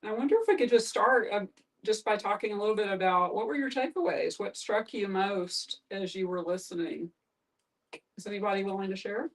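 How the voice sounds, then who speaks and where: A woman's voice: mid-range voice, fairly quick. A woman facilitating a conversational group discussion, inviting others in with open questions. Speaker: guest facilitator, therapist. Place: live group gathering in a room. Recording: distant, off-mic pickup.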